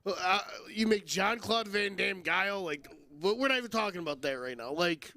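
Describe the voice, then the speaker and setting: A man's voice: sits high, expressive, normal speed, sharp tone. A man hosting a podcast, chatting casually about movies in a boisterous, teasing, opinionated way. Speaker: podcast host. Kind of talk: casual movie debate chat.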